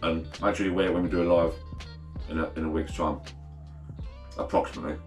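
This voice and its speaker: A low-pitched middle-aged man with a croaky, morning-after voice talks in a meandering, self-deprecating way. He is filmed across a bar counter in a small garden shed. The room gives his voice a noticeable echo, and faint music plays behind him. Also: steady tone, fast. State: croaky after a night of drinks. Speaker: vlogger. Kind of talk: casual rambling chat to camera.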